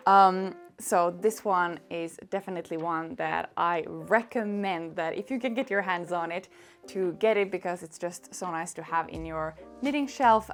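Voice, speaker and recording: A young woman whose first language is Swedish, chatting casually and eagerly about knitting books with many fillers and little laughs. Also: on the low side. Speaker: knitting vlogger. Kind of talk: casual chat.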